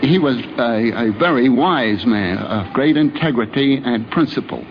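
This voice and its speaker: A man giving reflective, articulate interview remarks in a measured way for an old radio documentary. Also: mid-range voice, animated. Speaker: interviewee giving an appraisal of a friend. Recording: dull, noisy 1950s radio recording.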